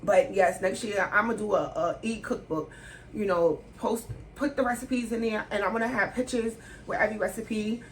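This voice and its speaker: A woman's voice: mid-range voice, steady tone, moderate pace, poor audio. A candid woman. She keeps it loose and slangy, laughing as she answers questions at home. A faint hiss of room noise sits behind her. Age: 30s. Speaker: vlogger. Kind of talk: casual sit-down Q&A vlog. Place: indoors at home, sit-down setting.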